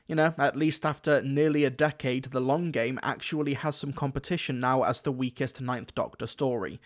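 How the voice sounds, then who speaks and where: A man delivering scripted review commentary, opinionated and wry, with emphatic phrasing. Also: medium pitch. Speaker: reviewer, host.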